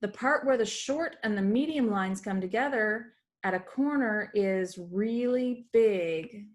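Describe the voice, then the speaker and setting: A woman's voice: mid-range voice, unhurried. A woman teacher giving an explanatory read-aloud lesson for children, recorded at a computer in a room at home.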